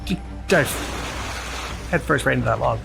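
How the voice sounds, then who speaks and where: Creaky-voiced man reacting casually. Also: medium pitch, normal speed. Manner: sarcastic, wry asides. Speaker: reaction video host. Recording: loud game music behind the voice.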